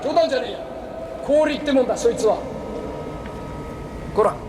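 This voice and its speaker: A brash village haggler talks in a very high male voice. He is rough, rustic and insistent, a gullible fellow always pushing a deal. The live recording is echoey and noisy. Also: animated, moderate pace. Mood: scornful, bossy. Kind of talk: theatrical stage acting. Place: large exhibition pavilion, live stage performance.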